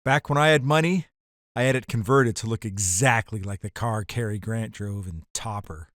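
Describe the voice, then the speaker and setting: A man's voice: fairly low pitch, normal speed, fairly bright tone, no echo, studio quality, natural voice. A man playing a hardboiled ex-bootlegger who narrates his caper in an audio drama, terse and wry. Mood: wry, reflective.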